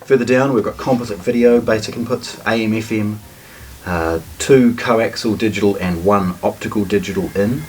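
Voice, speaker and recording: A man with a lowish voice gives an unscripted product walkthrough. He is matter-of-fact and a little hesitant as he explains. The recording sounds distant from the microphone, with some noise behind him. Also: moderate pace, darkish tone. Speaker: product presenter. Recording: distant mic, noticeable background noise.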